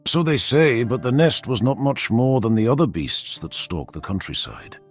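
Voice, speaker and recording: A man's voice: mid-range voice, animated, moderate pace, husky, soft background music. A man voicing a proud, blunt young warrior lord with formal, curt fantasy dialogue. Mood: dismissive. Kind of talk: fantasy audiobook character dialogue.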